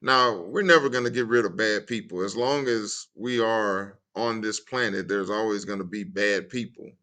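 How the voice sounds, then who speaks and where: A man in his fifties speaking as a podcast guest, with a lowish but bright, forceful voice. He sets out his views in a measured, deliberate way. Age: 50s. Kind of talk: interview answers.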